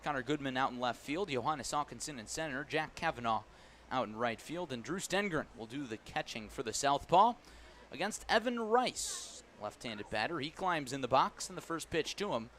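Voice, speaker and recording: A man's voice: mid-range voice, lively intonation, normal speed, fairly bright tone, slight background noise. An American man giving live radio play-by-play of a baseball game as the announcer. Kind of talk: live radio sports commentary.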